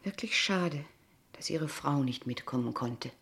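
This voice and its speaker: A kindergarten teacher in a radio drama, with a notably low female voice. She is polite and formal on the surface, yet keeps pressing with probing questions and quiet disapproval. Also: animated, a little slow, heavily acted. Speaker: actor in a radio play. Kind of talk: dialogue radio play acting in standard German.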